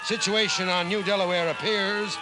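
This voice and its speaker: An actor in a 1950s radio drama playing a colonial inspector dictating a report in a formal, measured, official way. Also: male voice, high voice, steady tone, close to an everyday voice, low-quality recording. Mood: officious, grave. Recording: old radio recording under loud music.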